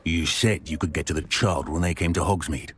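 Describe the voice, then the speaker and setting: An actor voicing a menacing male dark wizard who leads a gang in a video game, speaking coldly and threateningly. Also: rumbling low, fairly quick, slight background noise. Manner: cold, threatening.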